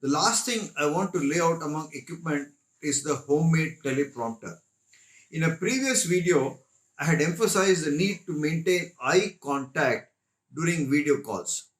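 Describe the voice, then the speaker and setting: A man's voice: a little high, animated, normal speed, rough recording. A man methodically explaining equipment as the presenter of a tech tutorial.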